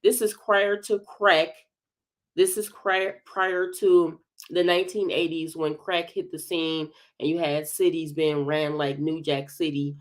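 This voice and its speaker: A woman's voice: deep voice, level tone. Congested woman host, hesitant. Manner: hesitant, many filled pauses. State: congested from a head cold. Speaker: commentary channel host. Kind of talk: livestream commentary.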